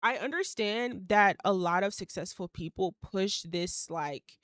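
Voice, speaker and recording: Young woman in her twenties rambling on her own podcast, lots of likes and ums, smooth clear voice, dry close sound. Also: medium pitch, a little slow, no room sound. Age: young adult. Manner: rambling, lots of fillers, swears casually, laughs mid-sentence. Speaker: podcast host. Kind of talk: casual solo podcast monologue.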